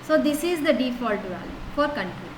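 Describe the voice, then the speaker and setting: A woman teaching a programming lesson, talking through code step by step in a steady, explanatory way and often starting with "so" and "now". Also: high voice, moderate pace. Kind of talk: lecture walking through code. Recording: echoey room with noise.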